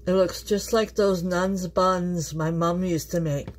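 A woman's voice: lowish voice, fairly even intonation, measured pace, noisy background. A woman from Canada hosting a casual home cooking vlog in her kitchen, chatting to viewers and laughing often. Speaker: home cook, channel host.

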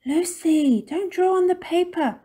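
A woman's voice: very high voice, a little slow, dark tone, pitched above the performer's own voice. A woman voicing a cheerful, fussy, dotty mother in a children's storybook, with emphatic singsong delivery. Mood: fussing.

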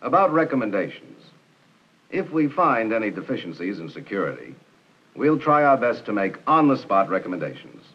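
A man in his forties playing a courteous, methodical army counterintelligence agent, formal and measured, on an old film soundtrack. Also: on the low side, big pitch swings, husky, muffled recording, heavily acted. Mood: courteous, assured. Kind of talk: 1960s training film acting. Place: small office and meeting room, indoors.

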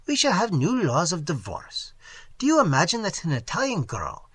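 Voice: mid-range voice, huge pitch swings, moderate pace, fairly bright tone, husky, no room sound, voice pushed up, full character voice. A reader doing a vain, scheming French artist man. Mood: boastful. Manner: lecturing, self-important.

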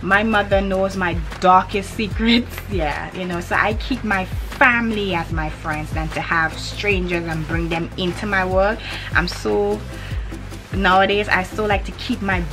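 A woman's voice: mid-range voice, measured pace, music in the background. A young woman from Grenada with a Grenadian accent, chatting animatedly to the camera in a vlog and repeating her points for emphasis. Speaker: vlogger. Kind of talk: casual to-camera chat. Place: indoors in front of a hanging cloth backdrop. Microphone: camera in front at head-and-shoulders framing.

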